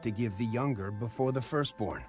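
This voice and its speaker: A man's voice: mid-range voice, moderate pace, close to an everyday voice. An actor as a firm bargaining father over music. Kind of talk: dramatized audio Bible acting. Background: background music.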